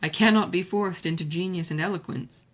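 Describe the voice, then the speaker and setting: A woman voicing a reserved, modest young gentleman in a novel, with a formal, self-deprecating manner. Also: fairly low pitch, a little fast, lo-fi sound, lightly acted. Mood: subdued.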